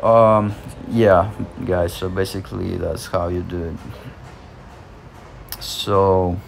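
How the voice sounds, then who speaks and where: A man with a Russian accent guides viewers through a phone app at a slow, easy pace. He hesitates often, filling gaps with "uh" and restarting words. A faint hiss sits under the voice-over. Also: low-pitched, flat delivery, vocal fry. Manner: hesitant, many fillers and restarts. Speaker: channel host. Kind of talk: screen-recorded app tutorial.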